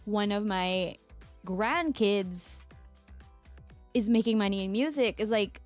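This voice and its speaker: A young woman from the Philippines, a streamer chatting casually with her viewers and answering their questions, with a low voice from a lingering sore throat. She rambles with plenty of fillers and laughs. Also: takes it slowly, soft background music. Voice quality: mezzo-soprano singing voice. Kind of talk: casual chat with chat readers.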